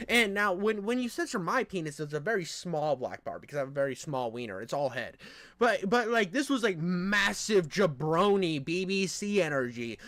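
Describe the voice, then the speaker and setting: High, nasal male reaction commentator, rambling crude banter, dry close sound. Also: very animated, moderate pace, fairly bright tone, no room sound.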